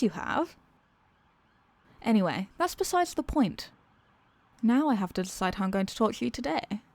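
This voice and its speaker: A woman's voice: medium pitch, darkish tone, no echo, natural voice. A woman playing an exasperated, sarcastic supervillain who is secretly weary of evil, in a theatrical comedic ASMR roleplay monologue. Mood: gleeful scheming.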